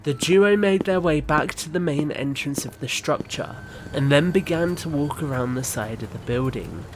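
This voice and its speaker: A man's voice: fairly high pitch, lively intonation, normal speed, background noise. A man reading scripted third-person story narration for an audio drama.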